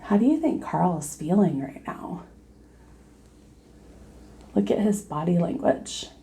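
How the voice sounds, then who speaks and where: A woman reading a children's storybook aloud in a deliberate teacherly way, pausing to put questions to young listeners. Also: on the low side, expressive, creaky, mellow.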